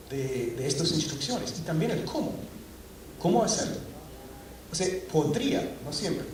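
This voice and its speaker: A middle-aged man addresses a crowded auditorium, his mid-pitched voice carrying from a distance across a very echoey hall. He stops and restarts often, leaning on fillers as he works through a technical talk. A faint hum of noise sits under him. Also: a little mellow. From Scotland. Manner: hesitant, many fillers and restarts. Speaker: conference speaker. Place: large auditorium. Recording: echoey hall recorded from afar.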